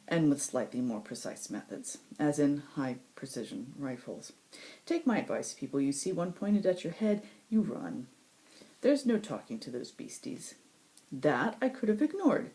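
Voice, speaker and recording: A woman voicing a cynical, sardonic supernatural male assassin in a first-person story, with sarcastic, dramatic asides and emphatic pauses. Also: lowish voice, mellow, voice pushed up. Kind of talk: first-person audiobook-style story reading.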